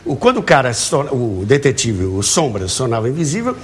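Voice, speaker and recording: A man's voice: medium pitch, wildly swooping pitch, a little fast. A Brazilian man talking as a talk show guest, telling anecdotes in a jovial way and acting out dialogue as he goes. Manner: jovial, acts out quoted dialogue. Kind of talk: animated anecdote telling to a host. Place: TV studio with audience. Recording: clearly noisy TV studio audio.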